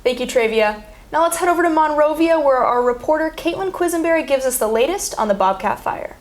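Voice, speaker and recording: A woman's voice: high voice, expressive, fairly quick. A woman anchors a student newscast in a slightly raised voice. She hands off to reporters in a friendly, conversational way. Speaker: news anchor. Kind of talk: studio anchoring.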